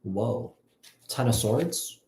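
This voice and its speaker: A man giving a casual live tarot reading, thinking aloud in a halting, repetitive way. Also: medium pitch, expressive, background noise. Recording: echoey, roomy sound.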